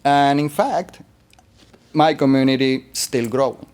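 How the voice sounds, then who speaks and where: A man from Colombia, a guest artist in an interview, talking in a relaxed, rambling way with fillers. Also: mid-range voice, measured pace, clean tone. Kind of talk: interview conversation.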